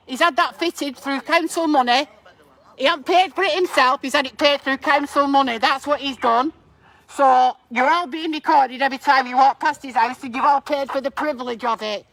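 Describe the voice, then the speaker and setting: A woman's voice: high-pitched, fairly bright tone. A woman speaks as a street protester. Her delivery is confrontational and accusatory, aimed at anyone within earshot. The voice is amplified by a megaphone, with a dry, echo-free sound outdoors. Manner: confrontational, accusatory, addressing bystanders. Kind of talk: loud public protest speech in the street.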